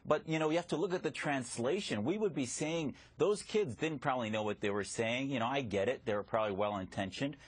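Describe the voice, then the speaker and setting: Emphatic husky-voiced man, native Arabic speaker. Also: mid-range voice, a little fast, few pauses, no echo, low-quality recording. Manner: emphatic, argumentative. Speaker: guest commentator. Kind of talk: live TV news interview.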